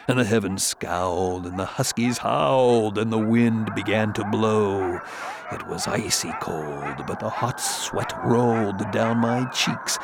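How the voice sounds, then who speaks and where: An actor playing a haunted gold prospector who recites a grisly Arctic horror poem in a theatrical way, swinging between hushed dread and cries. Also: male voice, lowish voice, gravelly, noisy background, exaggerated voice. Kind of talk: dramatic horror poetry recitation over music.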